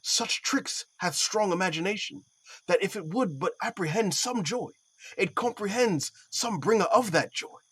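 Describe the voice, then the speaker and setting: A man plays a stately duke in a Shakespearean audio drama. His delivery is measured, sceptical and declamatory, in a slightly high voice. It is recorded very dry. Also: lively intonation, a bit bright, breathy edge, dry recording, full character voice, rough recording. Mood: sceptical, musing. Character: Theseus, stately sceptical male Athenian duke. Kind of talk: Shakespearean audio play acting.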